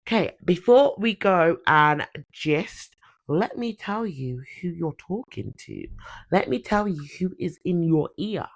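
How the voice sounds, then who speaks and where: A young woman hosting a solo podcast, chatting in a bubbly, self-correcting way while congested with a cold. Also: sits low. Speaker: podcast host. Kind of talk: casual solo podcast chat.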